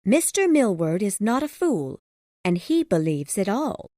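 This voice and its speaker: A man's voice: high voice, sing-song, a little slow, a little mellow, dry recording, studio quality, voice pushed up. A man reading a story aloud, voicing an anxious, sorrowful young woman in a pleading tone. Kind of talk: audiobook character voice.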